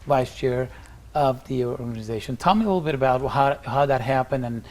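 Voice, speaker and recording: A middle-aged man hosting an interview, asking questions haltingly with frequent pauses. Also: medium pitch, a little mellow, slight background noise. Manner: hesitant, many fillers and restarts. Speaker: interview host.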